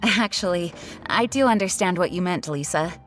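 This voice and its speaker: An actress playing a dutiful, earnest young woman leader in a video game, speaking politely and formally in a measured way. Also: on the low side, animated, faint music behind, lightly acted. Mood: polite, composed.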